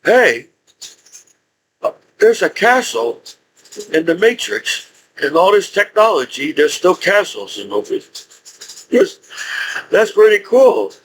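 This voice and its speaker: A man in his forties speaking in a rambling, halting monologue with frequent restarts and laughs. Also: sits high, big pitch swings, noisy background. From United States. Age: 40s. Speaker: YouTuber.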